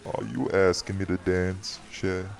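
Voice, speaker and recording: A male actor voices a courtly old-world vampire host for an audio drama. His voice is extremely deep and full of gravel. He is weary, protective and firm. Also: level tone, a little slow. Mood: gentle, flirtatious. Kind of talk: audio roleplay drama acting.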